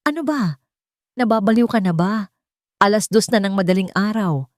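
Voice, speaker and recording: Woman playing an indignant, haughty older mother-in-law, pitched up. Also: animated, moderate pace, no echo, clean studio sound, lightly acted. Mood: indignant, alarmed. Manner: indignant, stammering when cornered.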